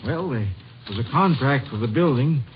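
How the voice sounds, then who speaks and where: A man's voice: mid-range voice, animated, moderate pace, natural voice. A man acting as an obliging, slightly hesitant bank manager full of questions, in an old radio drama. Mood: puzzled, helpful. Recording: muffled, hissy old radio recording.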